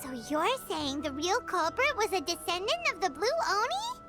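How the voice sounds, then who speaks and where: Brief: a chirpy little game fairy, very high and wildly bouncy, over loud score. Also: female voice, sing-song, normal speed. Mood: curious. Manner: squeaky, inquisitive, chirpy. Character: tiny female floating fairy companion, curious. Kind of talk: game cutscene voice acting. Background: game music.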